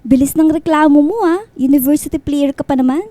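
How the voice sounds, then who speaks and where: A woman playing a playful, teasing young student best friend in a radio drama, with a Filipino accent and an expressive, chiding delivery. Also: high-pitched, fairly quick, dry recording, close to an everyday voice.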